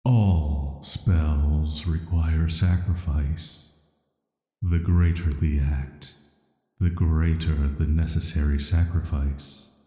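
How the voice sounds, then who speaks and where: A man playing an ancient cursed villain in a sleep-aid audio roleplay, speaking in a soft, flat, soothing and intimate way, flirty yet dangerous. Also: a bass voice, slow. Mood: calm, admiring. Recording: echoey, long reverb.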